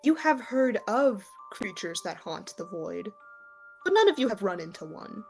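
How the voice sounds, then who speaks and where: A woman game master narrating a tabletop game in halting, deliberate phrases. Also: mid-range voice, sing-song, soft background music.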